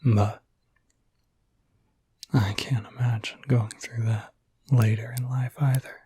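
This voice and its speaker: A gentle, reassuring werewolf acted by a man looks after the listener in an intimate ASMR roleplay. His voice is a very breathy, soft whisper at a medium pitch. He speaks slowly and haltingly, with an easygoing, soothing manner. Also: fairly even intonation, no room sound.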